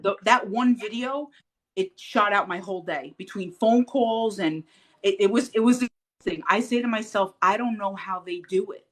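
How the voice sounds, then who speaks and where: Animated woman telling a story. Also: mid-range voice, moderate pace. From Puerto Rican heritage. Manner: animated storyteller, acts out quotes, laughs often. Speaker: podcast guest. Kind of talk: casual interview chat.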